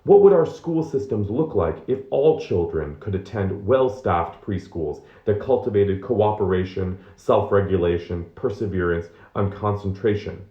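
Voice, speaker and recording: A man's voice: lowish voice, lively intonation, moderate pace, few pauses, dark tone, highs cut off. A man reading nonfiction aloud as narration, in a roomy, echoing recording. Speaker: reader of a book excerpt.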